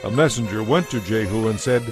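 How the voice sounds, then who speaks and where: A man's voice: lowish voice, a little fast, over music. A man giving scripted narration for a dramatized audio Bible.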